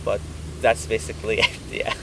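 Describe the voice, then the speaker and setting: A young man from Syria, a university student, giving measured interview answers with some hesitant fillers. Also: on the low side, completely flat delivery. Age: young adult. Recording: distant mic with street noise. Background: street traffic.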